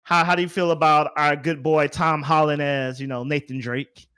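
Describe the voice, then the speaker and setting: A man hosts a podcast with a moderately high voice. His delivery is casual and conversational, like chatting with a friend. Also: flat delivery, normal speed, pushed and bright, clear, resonant tone. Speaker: podcast host. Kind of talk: casual chat.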